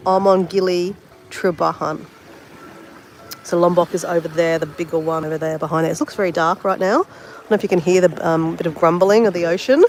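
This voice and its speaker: A woman's voice: lowish voice, fairly even intonation, a little fast, darkish tone, a little hiss or hum. An Australian woman with a bit of a cold, chatting casually and mocking herself to viewers as she paints outdoors by the sea. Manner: chatty, self-mocking, talks straight to viewers. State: sniffly with a cold. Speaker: travel vlogger. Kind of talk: casual livestream chat while painting.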